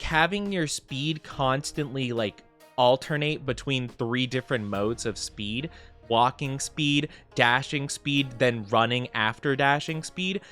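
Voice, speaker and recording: A young man streaming from home, chatting casually with viewers in a soft, rambling way full of fillers, sounding sniffly and stuffed up. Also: medium pitch.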